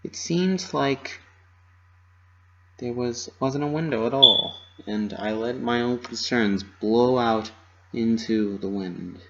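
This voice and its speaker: A man's voice: medium pitch, slow, dull audio, background noise. A man giving a dramatic reading of a story, narrating in the first person. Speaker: amateur fan fiction reader.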